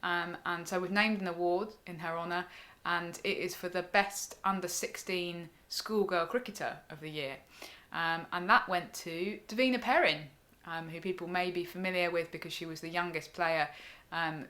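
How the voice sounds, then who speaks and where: A woman, a bit croaky, co-hosting a casual podcast and chatting with frequent ums. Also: lowish voice, normal speed, fairly bright tone. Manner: chatty, many ums, laughs easily. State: hoarse. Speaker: podcast co-host. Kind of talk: casual cricket podcast chat.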